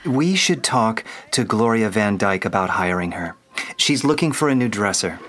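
A man playing a suave, doting 1930s gentleman in an old-time radio drama. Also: on the low side, expressive, moderate pace. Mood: flirtatious.

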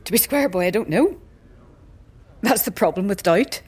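An actress playing a very old, weary and sardonic priestess in a fantasy audio drama, blunt, with archaic slang and wry chuckles. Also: female voice, mid-range voice, animated, normal speed, darkish tone, slightly rough. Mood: troubled, doubting. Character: very old striking woman, sardonic, weary, doubting death-cult priestess.

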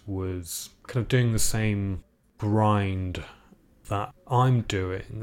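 A young man raised in England with a British accent talks through his thoughts in an interview. His voice sits very low, and he pauses, restarts and fills gaps as he reflects. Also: a little mellow. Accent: British English. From Iceland. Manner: thoughtful, halting, many fillers and restarts. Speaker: guest, composer.

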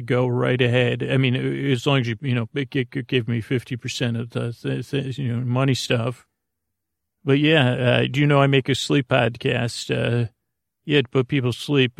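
Creaky-voiced man, halting and meandering. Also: mid-range voice, no echo, clean studio sound. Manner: halting, meandering, stammering, trails off mid-thought. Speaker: podcast host. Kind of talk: rambling, whimsical bedtime monologue.

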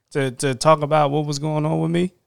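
A man co-hosting a podcast, asking questions in a supportive way with quick asides and filler words. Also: on the high side, little pitch movement, creaky, smooth, no echo, studio quality. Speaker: podcast co-host. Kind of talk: casual podcast chat.

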